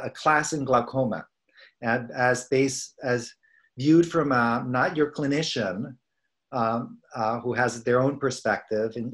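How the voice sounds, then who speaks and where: A middle-aged man with an American accent, a scientist giving an informal, halting, conversational lecture over a video call. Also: mid-range voice. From United States, East Coast. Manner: halting, conversational, self-correcting. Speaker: professor and research scientist presenting.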